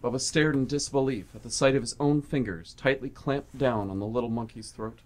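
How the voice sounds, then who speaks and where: A man's voice: mid-range voice, fairly quick, a little mellow. Man narrating a dramatic adventure story. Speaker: radio play narrator.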